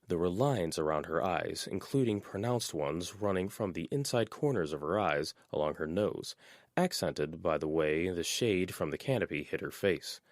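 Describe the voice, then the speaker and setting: A man narrating a fan audiobook chapter in an expressive, measured reading style. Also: low-pitched, no echo, clean studio sound. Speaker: audiobook reader.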